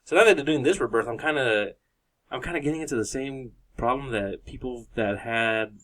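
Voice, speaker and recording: A man's voice: mid-range voice, fairly quick, fairly bright tone. A man of about thirty hosting a podcast in casual, informal group chat. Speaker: podcast host.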